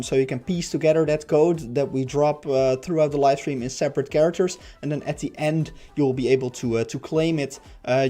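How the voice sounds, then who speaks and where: Guy hosting a game stream, raspy and stuffy, kinda highish mellow voice, rambles w lots of ums, faint music behind. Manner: rambling, many fillers, light laughs. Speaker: livestream host. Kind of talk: casual livestream chat.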